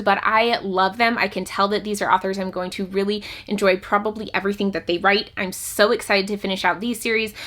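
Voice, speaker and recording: A woman with a bright, forward voice at a middle pitch speaks as a vlog host. Her delivery is casual and chatty, with open enthusiasm as she gives her opinions. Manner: enthusiastic, gushing about favourites. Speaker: book reviewer and channel host. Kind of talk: casual chatty book talk to camera.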